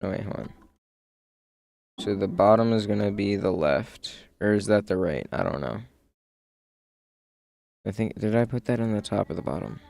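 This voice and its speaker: A man's voice: fairly low pitch, animated, moderate pace, gravelly, long pauses, darkish tone. A male streamer chatting casually while building in a game, muttering to himself and calling his viewers "bro".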